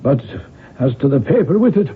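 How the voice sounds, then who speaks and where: An actor playing a superstitious, fearful Irish man in a radio drama, with halting, dramatic delivery. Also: fairly high pitch, big pitch swings, husky, full character voice. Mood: grave, worried. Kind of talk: 1970s radio drama acting. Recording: tinny noisy 1970s radio broadcast.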